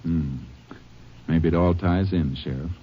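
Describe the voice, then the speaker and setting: An actor playing a methodical, matter-of-fact Texas Ranger in an old-time radio western, speaking in a measured, deliberate way. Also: male voice, low-pitched, breathy edge, dull audio. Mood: methodical, focused. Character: calm, methodical male Texas Ranger investigator. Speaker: lead actor and narrator in a western radio drama. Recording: old radio recording with music.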